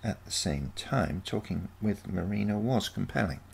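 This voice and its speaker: A man's voice: fairly low pitch, expressive, moderate pace, vocal fry, a little mellow, slightly rough. A man reading a scripted first-person short story as narrator. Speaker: story reader.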